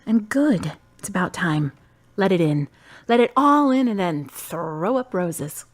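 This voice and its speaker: An actress playing a calm, wise young woman in her twenties from the United States, who talks bluntly and teases dryly. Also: fairly low pitch, measured pace. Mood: firm. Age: 20s. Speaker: friend and love interest. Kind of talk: amateur web drama acting.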